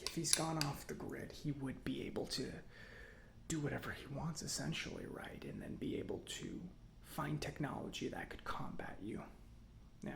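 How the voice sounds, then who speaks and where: A man playing a chatty, self-satisfied robot mechanic in a soft-spoken ASMR roleplay, breathy and often near a whisper, musing slowly with pauses. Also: medium pitch, expressive, background noise. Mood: musing, skeptical. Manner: unhurried, hesitant musing with pauses.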